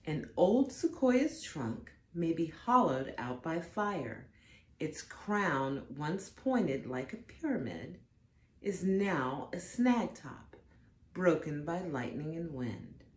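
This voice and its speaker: A woman reading a picture book aloud to children, with expressive, deliberate emphasis on the words. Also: low voice, roomy sound. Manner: dramatic, deliberate emphasis for young listeners. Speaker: storybook reader for children.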